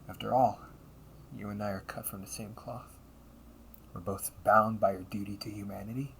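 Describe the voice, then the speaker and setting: A man voicing a cunning, condescending villain and older brother in an audio roleplay, taunting and theatrical, often dropping toward breathy near-whispers. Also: fairly low pitch, fairly bright tone, a little hiss or hum, poor audio. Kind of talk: audio roleplay monologue addressed to the listener.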